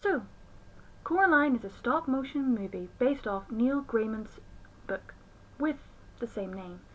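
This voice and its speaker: A woman's voice: a little high, lively intonation, unhurried, background noise. A woman giving a scripted film review commentary. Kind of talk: scripted review commentary with acted intro skit.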